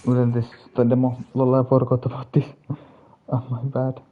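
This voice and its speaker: A man's voice: medium pitch, completely flat delivery, normal speed, phone-quality sound, a little hiss or hum. A man giving casual live commentary as he plays a game, mostly talking to himself in short bursts. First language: Finnish. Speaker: game streamer. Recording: game music behind. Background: video game music.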